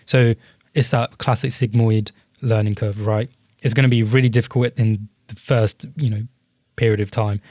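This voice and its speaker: A man's voice: on the low side, fairly even intonation, moderate pace, a little mellow, no room sound, studio quality. A young man in a casual podcast discussion, speaking in a hesitant, self-reflective way and often hedging. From Britain. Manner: hesitant, reflective, hedges with "I guess". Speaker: podcast co-host.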